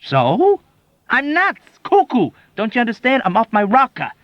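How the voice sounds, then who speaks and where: A frantic young airman, as a male reader puts him on, talks in a raised, insistent voice full of exasperation. His delivery jumps between highs and lows. The sound is dry and somewhat dull. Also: huge pitch swings, moderate pace, dry recording, exaggerated voice. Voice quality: urgent, emphatic. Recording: dull dry audiobook recording.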